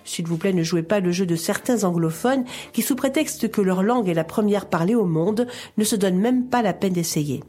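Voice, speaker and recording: A woman reading her scripted radio column in a measured, reassuring, explanatory way. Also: medium pitch, mellow, slightly rough, soft background music.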